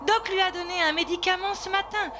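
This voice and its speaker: Une comédienne qui joue une jeune amie attentionnée et décidée de dessin animé, au ton sincère et insistant. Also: female voice, extremely high pitch, fairly even intonation, brisk, background noise. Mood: anxious. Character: caring, determined young female friend, Judy. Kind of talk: animated film dub.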